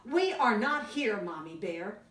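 A woman's voice: a little high, very animated, measured pace, a bit bright. A woman voicing little storybook bear cubs in an eager, childlike, sing-song manner. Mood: playful. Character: playful little bear cubs pretending to be snow bears. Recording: roomy, distant camera sound.

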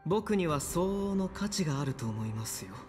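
A man playing a young, calculating and quietly resolute hostage in a video game, speaking in a hushed, composed manner. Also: fairly high pitch, sing-song, normal speed. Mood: composed, persuasive. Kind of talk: dramatic game voice acting. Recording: game music over the voice.

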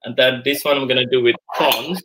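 A man's voice: mid-range voice, fairly even intonation, brisk, sharp tone, rough recording. A man in his forties hosting a live cooking demo from his home kitchen, chatting as he works and thinking aloud with lots of fillers. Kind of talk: casual live cooking demonstration. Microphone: video call camera, moving around the kitchen.

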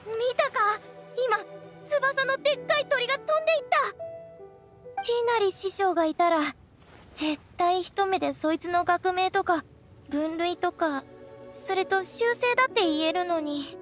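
A tomboyish young ranger girl from a game, very high in pitch. She talks with wide-eyed enthusiasm over faint music. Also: unhurried. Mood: excited, admiring. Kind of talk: Japanese game voice acting.

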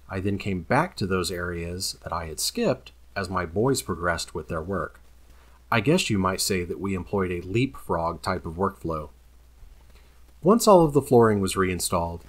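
A middle-aged man explaining a job in a friendly, steady, instructive way, partly on camera in a large indoor gym and partly in voice-over. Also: low voice. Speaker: flooring company owner.

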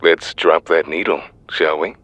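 An actor playing a genial old late-night radio disc jockey in an audio drama. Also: male voice, low voice, steady tone, unhurried, a bit bright, no room sound.

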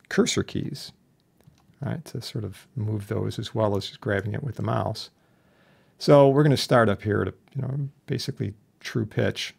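A man instructing in an informal software tutorial, chatty and plain-spoken with a wry streak. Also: on the low side, creaky, slightly rough. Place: indoors, voice-over for a screen recording.

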